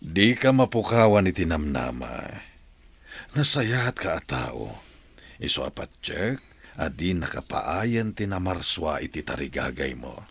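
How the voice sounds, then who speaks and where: An actor playing a caring, reassuring friend in a radio drama, earnest and consoling, with an Ilocano accent. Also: male voice, extremely low pitch, big pitch swings, measured pace, gravelly, fairly bright tone, husky, heavily acted. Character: caring, reassuring male friend of a troubled woman.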